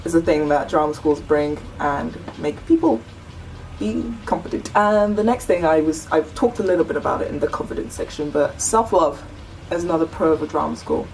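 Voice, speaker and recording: Young woman vlogger, deep low voice, chatty and earnest, slightly off-mic with faint hiss. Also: moderate pace, darkish tone, distant mic, low-quality recording. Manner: chatty, earnest, many "like" and "you know" fillers. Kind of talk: casual chat to camera.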